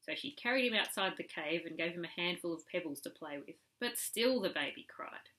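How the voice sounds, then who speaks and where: Bright-voiced woman reading a children's story. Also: fairly low pitch, fairly quick, poor audio. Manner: animated, emphatic storytelling. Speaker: storyteller reading to a young relative.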